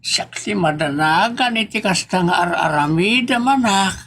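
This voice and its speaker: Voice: mid-range voice, animated, moderate pace, fairly bright tone, a little hiss or hum. A performer with an Ilocano accent playing an anxious, scolding elderly father in a comedy drama, worried and admonishing.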